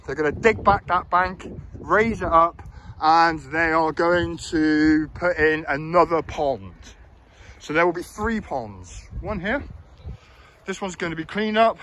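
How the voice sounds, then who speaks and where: A man's voice: a little high, a bit bright. A man walking through an outdoor park, explaining and criticising plans in a hesitant way with many fillers, recorded on a handheld phone. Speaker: local election candidate. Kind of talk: walking tour commentary. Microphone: phone camera held while walking. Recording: phone recording outdoors with faint background noise.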